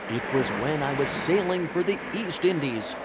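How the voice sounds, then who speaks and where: An actor playing an earnest, polite traveler who tells his adventure in an expressive children's story style. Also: on the high side, normal speed, lightly acted, rough recording. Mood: earnest storytelling. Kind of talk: scripted children's story narration in character. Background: music.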